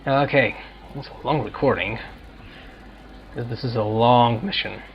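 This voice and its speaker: A man's voice: mid-range voice, unhurried, lo-fi sound. A man giving casual let's-play commentary as a gaming streamer.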